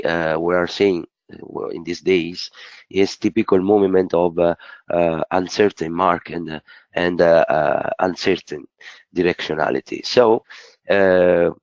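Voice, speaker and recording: A man with a cold and sore throat, hoarse, giving a halting market analysis in a live webinar, full of filler sounds and restarts. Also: low voice, a little mellow, dry recording. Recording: dull lo-fi webinar audio.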